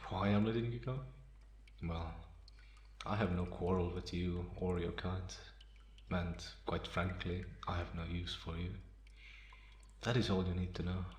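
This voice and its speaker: Guy doing a calm teasing half vampire man, asmr roleplay, really slow and pretty even tone, bit of background noise. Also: low voice. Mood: cool, detached. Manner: unhurried, pausing, softly amused. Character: calm, teasing male half-vampire rescuer, darkly restrained. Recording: roomy echo, faint background noise.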